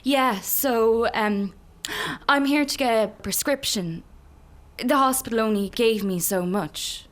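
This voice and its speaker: A woman playing a drained, withdrawn young woman, terse and deadpan, in an understated radio drama. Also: a little high, takes it slowly.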